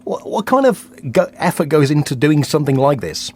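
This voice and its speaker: A man hosting a morning radio show, presenting and interviewing in a lively broadcast style. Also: on the high side, fairly quick. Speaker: radio breakfast show host.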